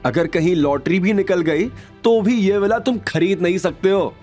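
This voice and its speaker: A man's voice: high-pitched, moderate pace, a little mellow, soft background music, voice pushed up, natural voice. A man voicing an arrogant, mocking young rival in an audio drama, jeering and taunting as he talks. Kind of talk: Hindi audio drama acting.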